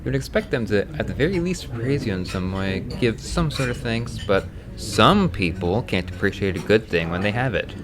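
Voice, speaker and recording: A man's voice: on the low side, animated, moderate pace, hardly any pauses, very noisy, close to an everyday voice. A man voicing a boastful, cynical ex-adventurer drinking in a tavern in a roleplay piece, with a sardonic, bragging manner. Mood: cocky. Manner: cocky, sardonic, slurred bragging. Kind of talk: romance roleplay ASMR.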